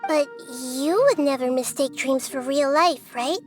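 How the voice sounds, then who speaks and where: Gentle young deity girl, high-pitched, measured and earnest, video game character. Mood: thoughtful. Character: small young girl goddess, gentle, wise and thoughtful. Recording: music behind the voice.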